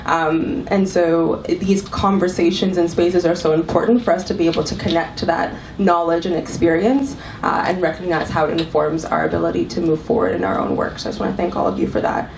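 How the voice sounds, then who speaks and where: A woman fighting a cold speaks as a panelist, feeling her way through her points with frequent ums and restarts. Her voice is a little low and sits well back from the microphone in a roomy, echoing hall, with a faint hiss under it. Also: a little fast, vocal fry, few pauses. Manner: thoughtful, many ums and restarts. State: has a cold. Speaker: panelist, arts executive director. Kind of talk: panel talk to a live audience. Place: club event room. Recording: echoey room, mic from far off, faint hiss.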